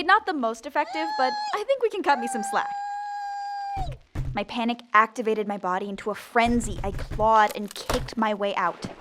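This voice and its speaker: A woman's voice: a little high, background noise. A woman playing a bewildered teenage zombie girl who dramatically narrates her own story in an audio drama. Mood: panicked. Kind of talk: scripted first-person audio drama narration.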